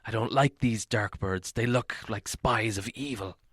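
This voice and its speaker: A man's voice: on the low side, measured pace, a bit bright, no room sound, clean studio sound. A man voicing a gloomy, cautious old male dwarf in a storybook reading. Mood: gloomy, wary.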